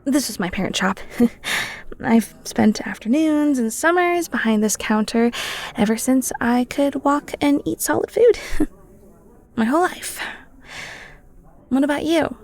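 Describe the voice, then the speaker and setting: High-voiced, playful, flirty cheesemonger played by a woman. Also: expressive, a little slow, pauses often, darkish tone, exaggerated voice. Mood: chatty, teasing. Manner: bubbly, teasing, giggly, breaks into near-whispers. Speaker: audio roleplay voice actor. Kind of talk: intimate flirty audio roleplay, one-sided conversation.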